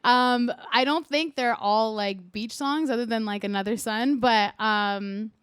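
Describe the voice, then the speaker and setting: A woman singer from Canada with a loud, projecting voice, chatting casually as an interview guest. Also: fairly high pitch, unhurried, clear, resonant tone, no room sound.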